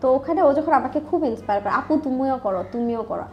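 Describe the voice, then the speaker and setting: A woman's voice: high voice, animated, fast, highs cut off, faint music behind. A woman with a Bangladeshi accent speaking candidly and emphatically in a conversational interview, mixing in English words. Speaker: interview guest, online business owner.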